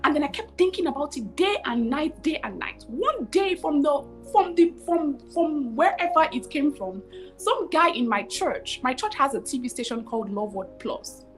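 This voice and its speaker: A woman in her thirties with a Nigerian accent, telling her story as a guest over a video call, expressive and repeating phrases for emphasis. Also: sits high, normal speed, soft background music, low-quality recording. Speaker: guest, cooking show host. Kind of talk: animated storytelling interview. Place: home room with curtains, video call.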